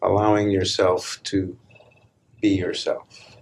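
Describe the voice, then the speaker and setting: A man leading a live guided meditation, speaking calmly and deliberately in short phrases with long pauses between them. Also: sits low, gravelly, slightly rough. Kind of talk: live guided meditation, slow hushed instruction.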